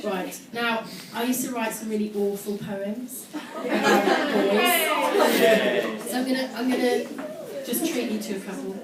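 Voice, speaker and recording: A woman's voice: on the high side, unhurried, hardly any pauses, a crowd in the background. Echoey, far-off woman reading on stage. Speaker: amateur performer reading her teenage diary on stage. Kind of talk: comic confessional reading to a live audience. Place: indoor live show in London, audience laughing behind. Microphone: distant phone camera in the audience. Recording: echoey, noisy live venue recorded from far off.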